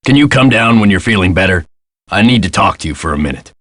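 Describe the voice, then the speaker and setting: An actor playing a gruff, put-upon castle hotel caretaker in a video game, with a folksy, sardonic and exasperated way of talking. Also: male voice, deep voice, expressive, quick pace, fairly bright tone, no echo. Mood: grumbling. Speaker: caretaker and front desk clerk. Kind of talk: scripted video game character lines.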